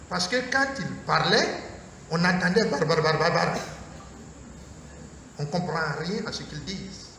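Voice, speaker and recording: Man priest, high voice, slow measured sermon phrasing, heard from afar in a reverberant hall. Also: sharp tone. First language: Mooré. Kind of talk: Lenten lecture sermon. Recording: echoey hall from far off, faint noise.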